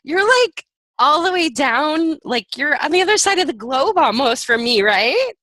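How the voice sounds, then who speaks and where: A bubbly woman from Ohio hosting a podcast interview. Her voice sits high and bright, with pitch that bounces all over. The sound is dry and close. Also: expressive, no room sound. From Ohio, USA. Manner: bubbly, laughs often, quick exclamations. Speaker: podcast host. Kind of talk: casual interview chat.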